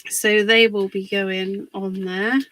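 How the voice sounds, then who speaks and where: A woman hosting a casual live craft demonstration, a little husky from a cold, chatting and greeting viewers by name. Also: medium pitch, level tone, takes it slowly, sharp tone, low-quality recording. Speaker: craft livestream host.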